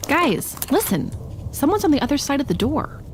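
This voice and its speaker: A woman's voice: high voice, big pitch swings, normal speed, a little hiss or hum. A woman playing a bossy, alert young adventurer with an exclamatory delivery in a comedy audio drama.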